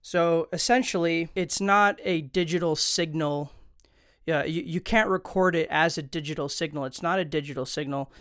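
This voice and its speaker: Clear-voiced man giving a casual tutorial. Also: a little high, moderate pace, a bit bright, no echo, studio quality. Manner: informal, conversational. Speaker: tutorial host. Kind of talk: casual instructional explainer. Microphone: close studio condenser microphone.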